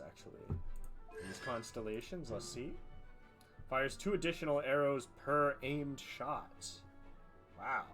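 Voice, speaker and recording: A man's voice: mid-range voice, a bit bright. A man doing casual live game commentary, thinking aloud and swearing freely. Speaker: game streamer. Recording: music behind the voice. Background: game music.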